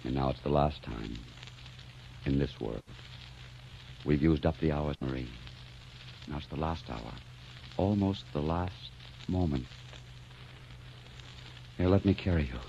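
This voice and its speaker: Very deep, solemn romantic man in radio drama. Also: a little slow, vocal fry, pauses often, a little hiss or hum, exaggerated voice. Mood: grave, loving. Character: solemn, tender male lover resigned to death. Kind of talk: 1940s radio drama acting. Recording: dull old radio recording, soft music behind.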